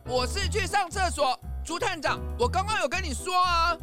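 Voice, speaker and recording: A woman doing an indignant male suspect in a children's audio drama, with a high, bright, swooping voice. He protests hotly, while soft music plays behind. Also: lively intonation, normal speed. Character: defensive male suspect, Mr. Pliers.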